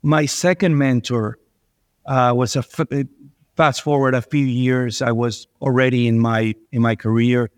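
A man's voice: mid-range voice, steady tone, unhurried, smooth, dry recording. A middle-aged man from Venezuela, a guest answering interview questions with many fillers and restarts. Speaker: investment manager guest.

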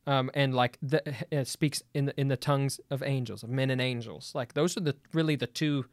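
A man's voice: mid-range voice, steady tone, no room sound, studio quality. Eager man pushing back in a debate. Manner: quick, eager, stumbling restarts while pushing back. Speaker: guest in a debate. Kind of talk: debate conversation.

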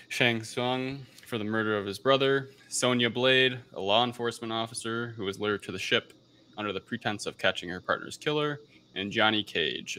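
A man with a low voice that has a very bright, forceful edge. He talks like a casual podcast host reviewing films, feeling his way through with some hesitation. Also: a little hiss or hum. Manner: hesitant, unsure of pronunciations.

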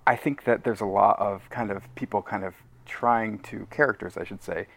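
A man's voice: on the low side, fairly even intonation, normal speed, creaky, no room sound. A man speaking casually as an interview guest, thinking aloud and correcting himself as he goes. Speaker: podcast guest, audio drama co-creator.

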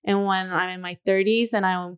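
A woman's voice: mid-range voice, fairly even intonation, smooth. A woman in her twenties chatting candidly on a podcast, musing aloud with lots of "you know" and "like". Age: 20s. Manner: candid, confessional, drawn-out thoughts with frequent "you know". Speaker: podcast co-host. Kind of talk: casual podcast chat.

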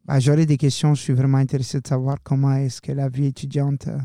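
A man's voice: medium pitch, flat delivery, fast talker, dark tone, dry recording, studio quality. A young man hosting a student radio show, talking loosely and casually and slipping in English words. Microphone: studio microphone.

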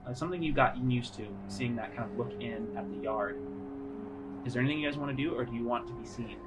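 A man working as game master, narrating scenes in a casual, halting, conversational way. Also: mid-range voice. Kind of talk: tabletop roleplay narration. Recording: music under the voice.

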